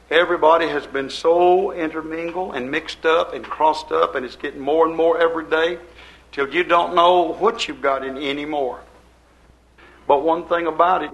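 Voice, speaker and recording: A man's voice: fairly high pitch, creaky, fairly bright tone, low-quality recording. An older man preaching a sermon to his congregation in a folksy, rambling way, repeating his phrases. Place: church service before a congregation.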